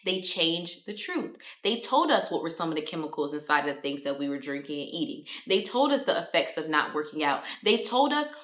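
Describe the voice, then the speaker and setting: A woman's voice: low-pitched, very animated, a little fast, few pauses, a bit bright. A woman in her twenties giving motivational self-improvement advice straight to her viewers, in a direct, chatty way. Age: 20s. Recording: roomy echo.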